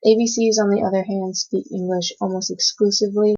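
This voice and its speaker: A woman's voice: mid-range voice, steady tone, brisk, dark tone. A young woman from the United States who grew up speaking Cantonese first, giving a class oral presentation and reading from notes with frequent hesitations. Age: young adult. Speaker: student presenter. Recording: dull lo-fi recording.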